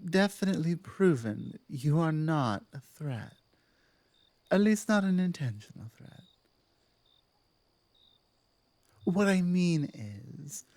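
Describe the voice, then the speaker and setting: A female werewolf pack leader played by a woman in an ASMR audio roleplay, commanding yet softening. She talks slowly and earnestly, pausing to weigh her words. The sound is dry and close. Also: deep voice, dry recording. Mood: earnest, guarded. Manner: measured, hesitant, earnest, with thoughtful pauses.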